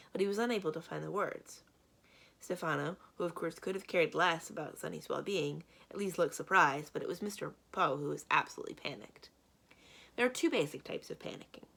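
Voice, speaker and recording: A woman reading a children's book aloud as a library storyteller, with expressive narration. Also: low voice, gravelly.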